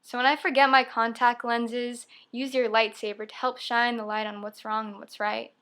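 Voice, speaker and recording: A young woman delivers spoken-word poetry. Her voice sits a little high and has a bright, forward edge. She recites expressively, breaking the verse into short, emphatic phrases. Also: flat delivery, moderate pace, clean tone. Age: young adult. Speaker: poet.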